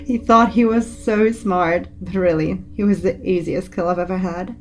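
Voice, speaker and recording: A woman's voice: fairly low pitch, fairly even intonation, unhurried, a little hiss or hum, lightly acted. Woman as a casually murderous teenage daughter. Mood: gleeful, smug. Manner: casual, petulant, smug. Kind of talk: horror dramatic reading.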